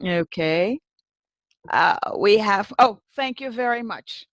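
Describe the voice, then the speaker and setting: A woman's voice: medium pitch, expressive, takes it slowly, dry recording, highs cut off. A woman presenting an informal webinar as host, conversational, thinking aloud and addressing attendees by name.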